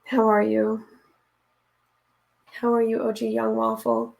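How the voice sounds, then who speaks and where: Woman streamer, raspy and a bit hoarse, fairly high voice, unhurried, chatty. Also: completely flat delivery, a little mellow, low-quality recording. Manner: chatty, talks to viewers while working, often unsure what to do next. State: hoarse from reading aloud. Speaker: streamer painting live. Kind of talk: casual chat while painting.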